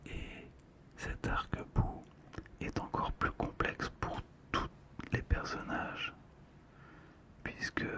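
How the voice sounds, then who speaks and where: Casting: ASMR host, breathy whisper throughout, unhurried and soothing, close-mic narration. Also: extremely high pitch. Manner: slow, gentle, soothing delivery. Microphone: close ASMR microphone, monitored on headphones.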